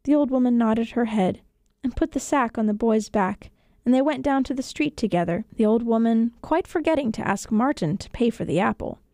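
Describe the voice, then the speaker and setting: A woman's voice: a little high, moderate pace, mellow, no echo. A woman reading a children's story as a scripted narration, recorded in a radio studio.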